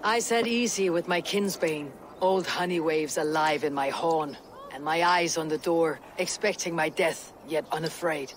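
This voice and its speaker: Woman as stern, husky Viking warrior. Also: low-pitched, normal speed, a little hiss or hum, close to an everyday voice. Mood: cool, dry. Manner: terse, grave, challenging. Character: tough, blunt female Viking warrior, stern and proud. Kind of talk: cinematic video game dialogue.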